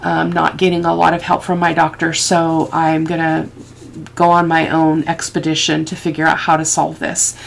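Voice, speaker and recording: A middle-aged woman with a head cold, sniffly, giving a casual craft tutorial and thinking aloud in a halting way as she works. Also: low-pitched, monotone, gravelly, slight background noise. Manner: unhurried, halting, thinking aloud while working. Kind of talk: casual, rambling craft process talk. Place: indoors at a craft table.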